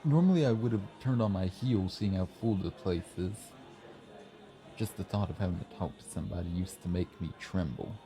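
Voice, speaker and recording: A man's voice: sits low, moderate pace, music in the background, lightly acted. A man playing a shy, friendly young writer in an ASMR roleplay, chatting bashfully and earnestly, a little hesitant. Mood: friendly, reflective. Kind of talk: ASMR roleplay, soft conversational acting. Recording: busy café crowd murmur behind. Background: café crowd chatter.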